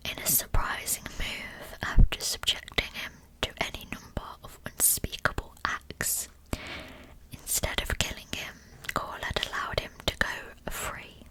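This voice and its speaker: Slow whispering woman, ASMR narrator. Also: rough recording. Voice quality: unvoiced, breathy whisper. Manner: slow, hushed, deliberate storytelling. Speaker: ASMR true-crime narrator.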